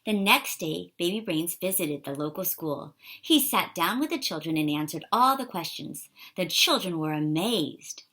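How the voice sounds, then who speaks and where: A woman reading a children's picture book aloud in an expressive, animated way for young listeners. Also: on the low side, normal speed, hardly any pauses. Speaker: storybook reader.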